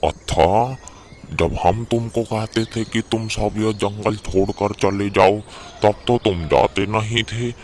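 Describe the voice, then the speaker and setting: A man voicing a suspicious, scornful village headman in an animated fable, scolding loudly and dismissively. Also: fairly low pitch, takes it slowly, soft background music. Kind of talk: animated moral-story acting.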